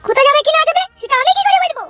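A man's voice: very high voice, lively intonation, very fast, sharp tone, faint music behind, heavily acted. A man voicing a spiteful, domineering village stepmother in a comic cartoon, scolding and bossy. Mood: angry.

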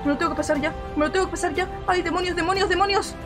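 High-pitched young woman streamer, Mexican-tinged Spanish accent. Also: music in the background. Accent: Spanish with Mexican inflections. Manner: animated, repeats phrases rapidly, complaining slangily. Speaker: game streamer. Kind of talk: casual live gameplay commentary.

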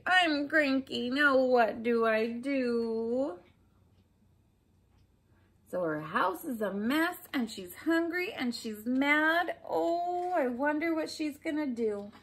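Female storybook reader, forties, unhurried and very lively in intonation, talking directly to small children. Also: high voice. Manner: expressive, addressing young listeners with questions and asides. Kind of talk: children's picture book read-aloud. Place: small furnished room at home, indoors.

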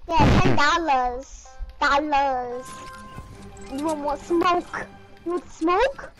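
Casting: very high childlike gamer voice, unhurried banter in voice chat. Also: poor audio. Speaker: player in an online game voice chat. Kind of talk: casual game banter. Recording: game voice chat over music. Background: loud music.

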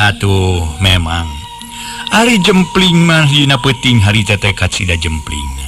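A man with a Sundanese accent telling a folk tale in a conversational way, with playful rhetorical questions and asides to his listeners. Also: lowish voice. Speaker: storyteller. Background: background music.

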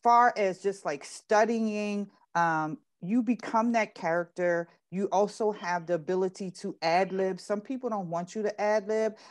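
A middle-aged woman with an American accent speaks as an interview guest on a video call. Her voice is medium in pitch. She is frank and direct, explaining things conversationally. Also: measured pace, few pauses. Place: indoor room, on a video call.

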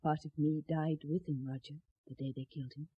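Low, very breathy sorrowful noblewoman. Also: fairly even intonation, fairly quick, highs cut off. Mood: wistful grief. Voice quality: soft, breathy. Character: sorrowful, proud medieval noblewoman fleeing her husband. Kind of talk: 1970s radio drama acting.

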